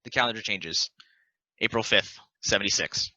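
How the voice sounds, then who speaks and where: A man's voice: on the low side, normal speed, bright, forceful tone, dry recording, poor audio. A man reading scripted stage directions as narrator in a play table read.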